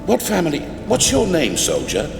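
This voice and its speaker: Pompous Roman emperor, terrified, wildly swooping voice, echoing hall, video game drama. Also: male voice, a little high, wildly swooping pitch, normal speed, vocal fry, exaggerated voice. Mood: anxious relief. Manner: frantic, pompous, theatrical. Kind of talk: theatrical video game acting. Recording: echoey reverberant hall, loud music behind.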